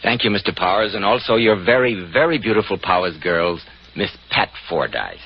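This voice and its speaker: A man's voice: low voice, animated, moderate pace, slightly rough. A man with an American accent announcing formally and with polish in the style of 1940s radio. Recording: dull 1940s radio recording. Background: music.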